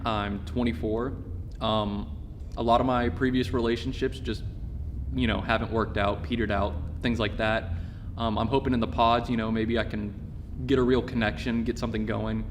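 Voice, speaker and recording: A man in his twenties delivers a slow, deadpan introduction to camera, half joking. His voice is mid-range and plain. A faint hiss sits under it. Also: fairly low pitch, in a large hall. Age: 20s. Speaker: mock dating show applicant. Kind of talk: audition tape.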